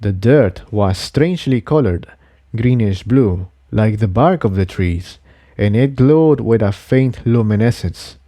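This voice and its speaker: A man's voice: lowish voice, warm tone, no room sound, clean studio sound. A man reading an adventure story aloud in scripted audiobook narration. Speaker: narrator and reader of a fan fiction.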